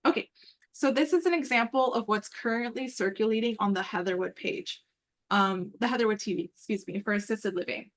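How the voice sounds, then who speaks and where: Female meeting presenter, medium pitch, conversational and upbeat, explaining step by step on an informal online call. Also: fairly quick. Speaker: meeting presenter and program coordinator. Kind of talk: informal work call presentation with screen walkthrough.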